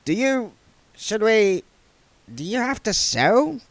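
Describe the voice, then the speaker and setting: A man voicing a blunt, gruff male dwarf who talks in short terse lines. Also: high voice, sing-song, slow, dry recording, pitched above the performer's own voice, heavily acted.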